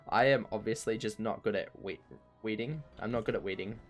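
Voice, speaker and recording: A man with a croaky voice chatting casually while streaming a game, rambling and poking fun at himself. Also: on the low side, wildly swooping pitch, moderate pace, faint music behind. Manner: rambling and self-mocking, laughs easily. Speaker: streamer playing an online game. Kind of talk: casual chat while gaming.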